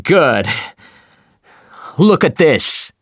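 Brief: narrator doing an obsessed one-legged sea captain, loud and drawn-out, slow delivery, dry close sound. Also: male voice, big pitch swings, slightly rough, no echo, exaggerated voice. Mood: commanding. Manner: loud booming declarations, drawn-out words.

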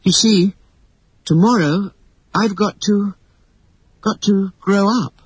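A storybook narrator voicing an excited, chattering young girl with a gushing, emphatic manner. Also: mid-range voice, measured pace, husky, no echo, voice pushed up, poor audio. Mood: wistful. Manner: gushing, breathless, emphatic exclamations. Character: excited, chattering young girl, warm-hearted and wide-eyed. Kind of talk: acted storybook dialogue.

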